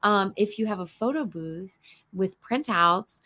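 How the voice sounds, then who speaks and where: A woman, hoarse and tired, chatting casually as a guest, often trailing off mid-sentence. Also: mid-range voice, lively intonation, unhurried, sharp tone. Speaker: guest, host's new wife. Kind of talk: casual chat.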